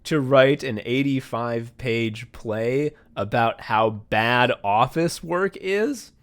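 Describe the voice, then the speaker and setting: A man's voice: medium pitch, slow pace, smooth. Guy podcast host just chatting, swears a lot, kinda self-deprecating, normal mid voice. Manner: profane, joking and self-deprecating. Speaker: podcast host and comedy writer. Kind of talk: casual chat.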